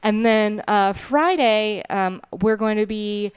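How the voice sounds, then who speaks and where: A woman's voice: medium pitch, slow, clear, resonant tone, no room sound. A woman who grew up speaking Thai, co-hosting a casual podcast and chatting through the day's schedule with lots of ums. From Thailand. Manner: chatty, rundown-style, many ums. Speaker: podcast co-host. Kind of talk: casual chat.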